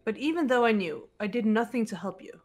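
A woman voicing a serious, guarded teenage girl detective in a visual novel game, cool and measured. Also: on the high side, animated, darkish tone. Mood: solemn, confessional.

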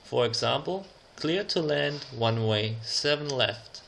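Guy doing a tutorial voiceover, takes it real slow, bright edgy voice, reads example phrases out carefully. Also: mid-range voice, animated, creaky, a little hiss or hum. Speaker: instructor presenting an air traffic control phraseology tutorial. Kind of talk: slide narration, reading out example radio instructions.

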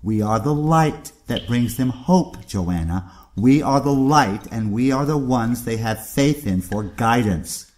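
An actor playing a ruthless, contemptuous aristocratic uncle in an audio drama, speaking in a theatrical, condescending way. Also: male voice, mid-range voice, animated, slow, darkish tone. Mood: lofty, grave.

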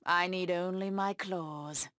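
A woman's voice: lowish voice, steady tone, slow pace, fairly bright tone, no echo, clean studio sound. An actress voicing a proud, bloodthirsty cat-woman huntress villain in a video game, with menacing, taunting delivery.

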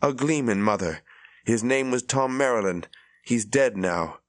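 A man's voice: mid-range voice, expressive, measured pace, no echo, clean studio sound, pitched above the performer's own voice, lightly acted. A man voicing an earnest young shepherd who speaks with defiant conviction.

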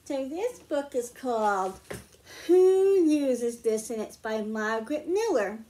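A middle-aged woman reading picture books aloud to young children, explaining slowly and clearly at home. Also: a little high, animated, warm tone. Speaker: children's librarian. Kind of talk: storytime read-aloud for kids.

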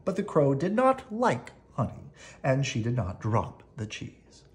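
An expressive children's storybook narrator. The reading is unhurried, with a lively, swooping pitch. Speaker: storybook reader.

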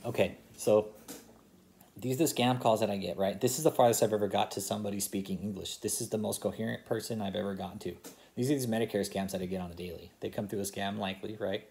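A man's voice: lowish voice, steady tone, fast, gravelly, a little mellow. A man in his thirties, talking on a phone call in a polite, hesitant way, recorded close up indoors at home. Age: 30s. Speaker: scam-baiter vlogger. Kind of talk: phone conversation with asides to camera. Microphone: phone or laptop camera close in front.

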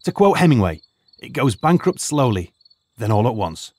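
Older professor-teacher type, lively rise and fall, calm explaining tone, for an audio drama. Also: male voice, mid-range voice, normal speed, husky, dry recording. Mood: measured. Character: retired archaeologist turned high school teacher, lecturing and cautious.

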